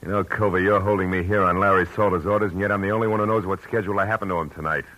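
A cool, wisecracking private eye in an old radio drama. His voice sits very low but has a sharp, forceful edge. He talks in clipped, sardonic lines. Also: steady tone, racing, rough recording. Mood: dry. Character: hard-boiled wisecracking male private eye, cool and dry. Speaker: narrator and lead. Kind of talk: 1940s radio acting.

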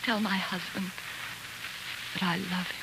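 An actress playing a spirited, fiercely loyal colonel's wife and devoted daughter in an impassioned, theatrical 1940s radio drama style. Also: female voice, fairly low pitch, fairly even intonation, unhurried, very noisy. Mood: proud, tender. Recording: 1940s radio broadcast with music.

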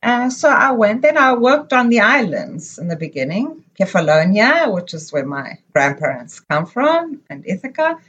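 A middle-aged woman from Zimbabwe, a podcast guest recalling her life abroad in an unhurried, meandering way with many pauses and fillers. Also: on the high side, big pitch swings, a bit bright, husky, lo-fi sound. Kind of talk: casual interview conversation.

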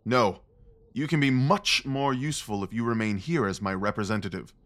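A man acting a restless, commanding gentleman detective in an audio drama, speaking formally and with intense emphasis. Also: lowish voice, moderate pace, lightly acted. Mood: resolute, brisk.

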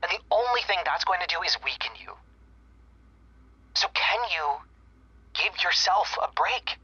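Young guy call center rep, patient n a bit guarded, husky, dull phone sound, audio drama. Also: sits high, expressive, unhurried, pushed and bright, no room sound, exaggerated voice. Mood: urgent reassurance. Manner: soothing, earnest, hesitant when personal. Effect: telephone filter. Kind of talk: naturalistic audio drama acting. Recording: dull telephone-filtered sound.

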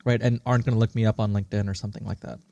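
Man in his thirties, American accent, mellow relaxed tone, earnest startup founder on a podcast, dry sound. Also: lowish voice, racing, vocal fry, dry recording, muffled recording. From born in India, raised in Singapore. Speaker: podcast guest, startup CEO. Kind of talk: articulate interview storytelling.

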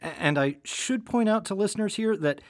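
A man's voice: high-pitched, lively intonation, fairly quick, no echo, clean studio sound. A man co-hosting a podcast, casually asking questions and adding remarks in conversation. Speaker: podcast co-host interviewer.

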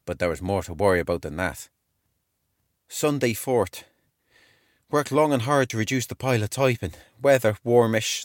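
A man performs an earnest young British army clerk sharing his wartime diary in a dramatised reading. The voice sits fairly low, with measured, expressive phrasing. The sound is dry and close. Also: no room sound, close to an everyday voice. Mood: reflective. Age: 20s.

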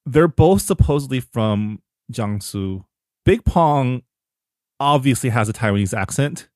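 A man's voice: medium pitch, animated, measured pace, a little mellow, no echo. A man co-hosting a podcast, talking casually about a film with a laid-back, chuckling manner. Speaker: podcast co-host.

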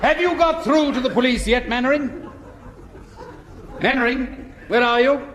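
An actor playing a fussy, officious English bank inspector in a vintage British radio sitcom, excitable and easily flustered into indignant spluttering. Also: male voice, very high voice, a little slow. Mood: baffled, annoyed. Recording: vintage radio recording with faint noise.

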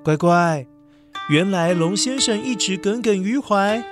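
A woman's voice: medium pitch, lively intonation, a little slow, over music. A woman telling a scripted story to young children, speaking expressively and addressing them directly.

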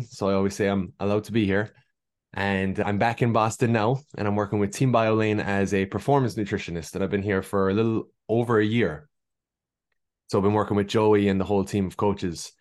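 A man's voice: lowish voice, fairly even intonation, a little fast, a little mellow. A man with an Irish accent, a guest nutritionist telling stories in a friendly, easygoing way on a podcast. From Ireland. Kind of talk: casual podcast interview.

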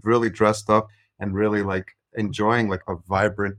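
Low-voiced male podcast host. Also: little pitch movement, moderate pace, fairly bright tone, dry recording. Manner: casual, many "like" fillers. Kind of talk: interview questions.

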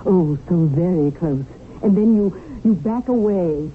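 An actress playing a glamorous, greedy, seductive widow in a radio drama, coaxing and insistent. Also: female voice, fairly low pitch, lively intonation, unhurried, phone-quality sound. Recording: thin radio broadcast, faint hiss.

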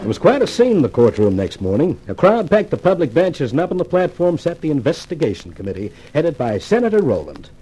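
An actor playing a cocky, sardonic newspaper columnist in a 1950s radio detective drama, with a wry, clipped delivery. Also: male voice, a little high, sing-song, a little fast, hardly any pauses, music in the background, low-quality recording. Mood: wry narration. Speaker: actor playing the lead and narrator.

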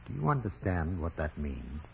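An actor playing an earnest, forceful parish priest, fatherly and formal, with emphatic delivery in 1940s radio drama style. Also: deep voice, sing-song, moderate pace, vocal fry, exaggerated voice. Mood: fatherly, solemn. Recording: muffled, noisy vintage radio broadcast.

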